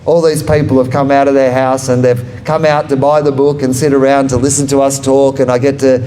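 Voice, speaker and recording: A man's voice: medium pitch, level tone, fairly quick, mellow, a little hiss or hum, low-quality recording. A man, a comedian and author talking about his book at a live bookshop event, rambling and self-deprecating. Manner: rambling, self-deprecating and jokey, laughs at own lines. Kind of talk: live book talk with audience questions. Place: bookshop event before an audience. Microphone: handheld microphone.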